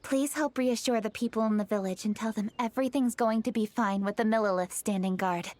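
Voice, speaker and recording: Woman acting a decisive, higher-voiced young noblewoman. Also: fairly quick, a little mellow, a little hiss or hum, natural voice. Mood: reassuring, polite. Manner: composed, formal, firm. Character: poised young noblewoman leader, decisive, serious, dutiful. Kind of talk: video game character acting.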